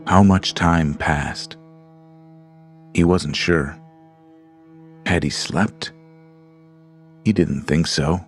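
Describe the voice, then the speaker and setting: A man narrating a scripted horror story in a measured, ominous way. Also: low-pitched. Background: creepy music.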